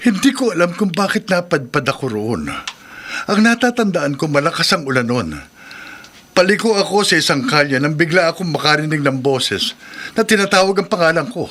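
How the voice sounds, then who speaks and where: A man's voice: fairly high pitch, animated, moderate pace, fairly bright tone, a little hiss or hum. An actor playing a frightened, bewildered taxi driver and earnest husband in a Filipino radio drama, stammering as he pleads. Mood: earnest, troubled.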